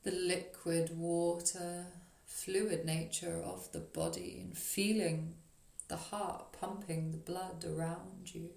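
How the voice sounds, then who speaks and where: A woman leading a guided meditation as a yoga nidra teacher, speaking in a soothing, gentle, unhurried way with long pauses. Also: lowish voice, lively intonation, gravelly. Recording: roomy echo on the voice.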